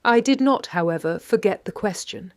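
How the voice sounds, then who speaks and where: An actress with a British accent playing a shrewd 1930s London lady detective, narrating in a measured, wry and precise way. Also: mid-range voice, animated, natural voice. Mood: composed, watchful. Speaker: narrator and lead character. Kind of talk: first-person detective audio drama narration.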